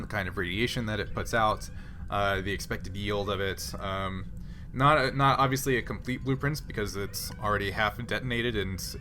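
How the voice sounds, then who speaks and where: A man acting as game master, explaining the situation of a sci-fi tabletop game in a halting, improvised way. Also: on the low side, bright, forceful tone, a little hiss or hum.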